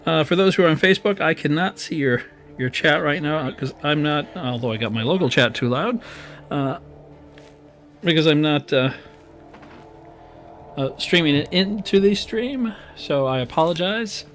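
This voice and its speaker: A man's voice: fairly high pitch, lively intonation, moderate pace, fairly bright tone, faint music behind. Man with a weak, strained voice. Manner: chatty and rambling, with many fillers and restarts. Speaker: streamer and game host. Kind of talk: casual live-stream commentary while playing. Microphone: desk microphone on a boom arm.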